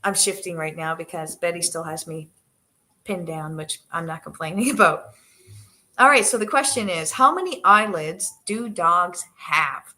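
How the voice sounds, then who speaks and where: A woman with a soft, gentle voice that sits a little low talks to her livestream viewers from the living room sofa. She hesitates often, restarting phrases with plenty of fillers, and her voice tends to trail off. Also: normal speed. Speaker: livestream host with her dogs. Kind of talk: casual live chat to viewers.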